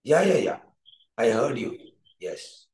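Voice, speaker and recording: A man's voice: mid-range voice, sing-song, takes it slowly, a little mellow. A middle-aged man with an Indian accent teaching through improvised storytelling, repeating phrases for emphasis in an encouraging way. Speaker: coach telling a moral story to students. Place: indoor furnished room with curtains, echoey. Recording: echoey room sound.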